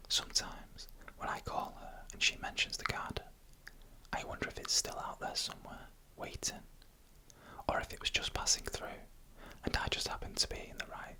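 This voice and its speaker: A person narrating eerie stories as ASMR in a hushed, deliberate whisper, close to the microphone. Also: poor audio. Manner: slow, hushed, deliberate storytelling in an unvoiced whisper. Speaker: ASMR storyteller.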